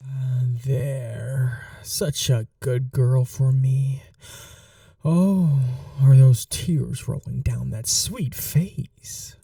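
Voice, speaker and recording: Male actor as ancient seductive vampire master, sadistic and possessive, hushed ASMR roleplay, extremely mellow dark tone, unhurried drawn-out delivery, formal endearments. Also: medium pitch, vocal fry, no echo, studio quality, distant mic, exaggerated voice. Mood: mock soothing. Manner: slow, hushed, dominant, formal endearments, often whispering. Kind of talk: intimate ASMR roleplay, scripted monologue.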